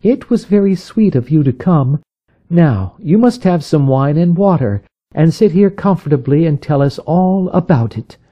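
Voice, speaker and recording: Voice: mid-range voice, very animated, a little slow, lo-fi sound, pitched above the performer's own voice. A man voicing a kindly wife who comforts an old friend in a raised, soothing voice. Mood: comforting.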